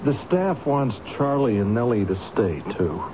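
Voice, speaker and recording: An actor playing an earnest, anxious, kindly staffer in a radio drama, hesitant and deferential. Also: male voice, medium pitch, sing-song, normal speed, natural voice. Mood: earnest, pleading. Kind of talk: old-time radio drama acting. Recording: dull, noisy radio drama recording.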